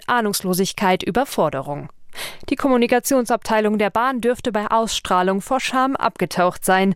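A woman's voice: mid-range voice, smooth, no room sound, clean studio sound. A woman radio reporter narrating a scripted fact-check report.

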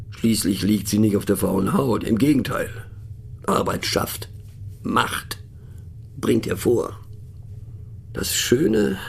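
Casting: male narrator for satirical audio drama. Deepish, creaky, rough-edged voice; pensive, mocking, conversational. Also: darkish tone. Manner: wry, pensive, mocking, halting emphatic delivery. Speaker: narrator of a satirical radio play. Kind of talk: scripted monologue performed as musing conversational talk.

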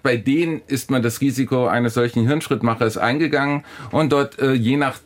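A man speaking as an academic guest in a discussion, explaining and arguing emphatically, often restarting and repeating phrases. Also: medium pitch. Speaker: philosophy professor, guest.

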